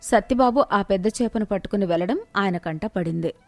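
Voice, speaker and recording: A woman's voice: mid-range voice, brisk, no echo. A woman narrator reading a scripted moral tale in storytelling style.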